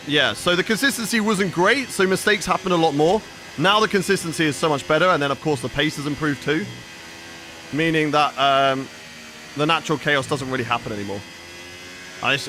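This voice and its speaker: A young man from the UK, streaming a racing game from home. He talks casually to his viewers, reads out their messages and answers them, and his voice is bright and forceful. A faint hum sits behind him. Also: a little high. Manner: chatty, reads and answers chat while playing. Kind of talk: live gaming stream chat.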